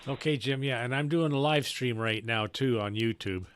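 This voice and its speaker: Male ham operator, mid-pitched, casual and halting, with clear background noise and clicks. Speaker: amateur radio operator and live streamer. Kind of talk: casual ham radio chat.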